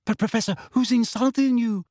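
A man voicing a timid teenage schoolgirl who speaks in a hushed, hesitant way. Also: very high voice, brisk, no room sound, studio quality, voice pushed up.

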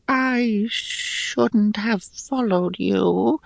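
Male narrator as elderly female tree creature, fantasy audiobook. Glum, frail, creaky high voice, very slow delivery. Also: no echo, heavily acted. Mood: remorseful. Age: older. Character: frail aged female treeling, glum and guilt-ridden.

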